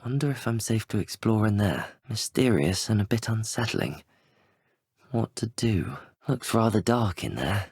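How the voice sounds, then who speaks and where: An actor playing a curious, plucky young wizard student in a video game, musing to himself in a polite, wry way with a husky, breathy voice. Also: male voice, on the low side, lively intonation, measured pace, darkish tone, no room sound, studio quality, full character voice. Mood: wary curiosity.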